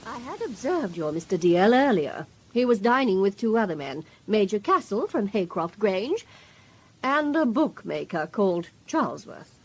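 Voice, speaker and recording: An actress playing a respectable, disapproving witness, precise, haughty and formal, in a radio drama. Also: female voice, medium pitch, sing-song, moderate pace, husky, rough recording. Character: prim, respectable, disapproving female witness, sure of herself.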